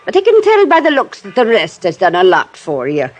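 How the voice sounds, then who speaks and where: A woman's voice: mid-range voice, wildly swooping pitch, fairly quick, highs cut off. An actress playing a bossy, motherly boarding-house woman in a fishing village, with a scolding, folksy manner, in 1950s radio style. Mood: motherly, fussing.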